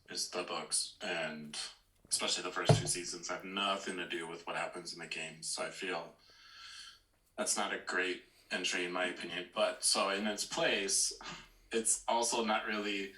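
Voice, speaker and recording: A man co-hosting a casual discussion, explaining his opinion in a measured way. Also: sits low, flat delivery, sharp tone. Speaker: podcast co-host. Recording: roomy echo.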